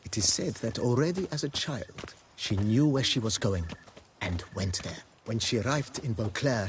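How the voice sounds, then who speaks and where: An actor playing a formal, refined majordomo of a wine estate in a video game, deferential and given to telling tales with flourish. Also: male voice, lowish voice, a little hiss or hum, natural voice. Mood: polite, proud. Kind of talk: video game character acting.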